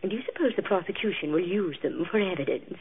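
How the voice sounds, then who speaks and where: A woman's voice: on the low side, expressive, fast talker, slightly rough, phone-quality sound, full character voice. A woman playing a gushing, catty society gossip in an old-time radio drama. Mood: excited, catty. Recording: thin band-limited old radio recording.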